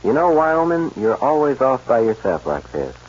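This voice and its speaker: An actor playing a level-headed, sociable ranch foreman in a 1940s radio western, brisk and commanding yet friendly. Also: medium pitch, big pitch swings, a little mellow, lightly acted. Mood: concerned, friendly. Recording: noisy, dull old radio recording.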